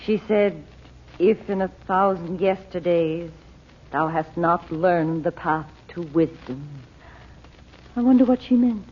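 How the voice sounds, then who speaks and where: An actress playing a frightened, entranced mother, speaking slowly and fearfully in 1930s radio drama style. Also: lowish voice. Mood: distressed. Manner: dazed, fearful. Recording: thin, tinny old radio recording.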